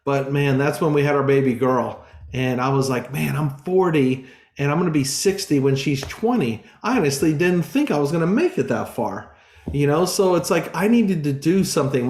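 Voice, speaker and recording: A man in his forties gives an earnest, informal sales pitch as a business coach, often stopping and restarting. His voice sits a little higher than most men's. The room around him rings with echo. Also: a little mellow. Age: 40s. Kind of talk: casual sales presentation.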